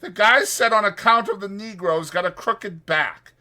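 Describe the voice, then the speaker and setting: A man's voice: high-pitched, normal speed, sharp tone, pitched above the performer's own voice. A man voicing a chatty old ranch swamper with rambling, folksy speech for a dramatized audiobook. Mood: excited, gossipy.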